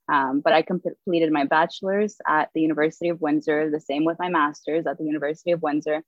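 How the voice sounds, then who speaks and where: A woman in her twenties, a guest author, telling her story in a relaxed way with frequent fillers and light laughs. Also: deep voice, no room sound. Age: 20s. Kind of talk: casual storytelling. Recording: dull, lo-fi dry audio.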